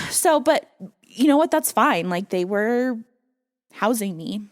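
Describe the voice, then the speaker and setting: A woman guest on a podcast, telling her life story candidly in an earnest, reflective way with soft laughs. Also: fairly high pitch, animated, takes it slowly. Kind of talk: candid personal storytelling in conversation.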